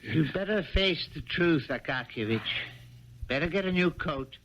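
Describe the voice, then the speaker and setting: A jovial office chief who likes to needle, in a fairly high voice. It is 1970s radio drama acting, heard through a dull broadcast with faint noise. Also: male voice, animated, moderate pace, a bit bright. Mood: mocking, teasing. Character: teasing, jovial male bureau chief clerk.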